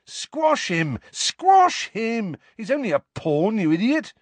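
A man voicing an excitable, blunt teenage schoolboy in an audiobook, eager and blurting. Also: high voice, quick pace, no room sound, voice pushed up, heavily acted. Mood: excited.

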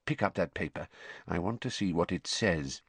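A man voicing a gentle, weary middle-aged wizard father in an audiobook, speaking in a quiet, measured way. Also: fairly low pitch, very animated, dry recording, full character voice. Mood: soothing.

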